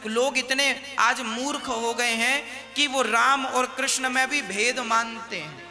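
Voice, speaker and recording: Man, preacher, slow deliberate sermon, soft violin underneath. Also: extremely high pitch, steady tone, big-room sound, music in the background. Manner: rhetorical questions, scolding and exhorting listeners. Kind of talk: devotional sermon.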